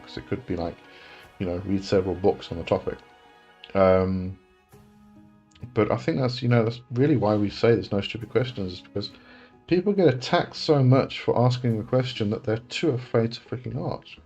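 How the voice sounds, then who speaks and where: A man's voice: low-pitched, darkish tone, dull audio. A man in his forties with an English accent, hosting a casual live chat and answering viewers' questions, rambling and correcting himself as he goes. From England. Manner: rambling, self-correcting, chuckles often.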